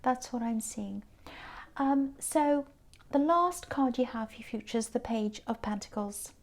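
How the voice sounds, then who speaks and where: A tired woman, giving a gentle, reassuring tarot reading that sometimes drops to a whisper. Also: fairly high pitch, a little slow. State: tired, croaky voice. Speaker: tarot reader.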